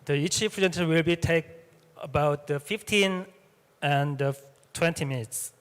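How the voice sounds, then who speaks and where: A man's voice: a little high, unhurried, clear, resonant tone. A man moderating a symposium session, speaking English as a with many hesitations and fillers. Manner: hesitant, frequent "uh" fillers and restarts. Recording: reverberant hall sound.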